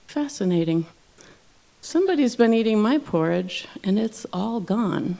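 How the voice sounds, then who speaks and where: A woman's voice: medium pitch, expressive, unhurried, vocal fry, mellow. A woman voicing a precocious eight-year-old boy bear, matter-of-fact and a little pedantic, in a told fairy tale. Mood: analytical.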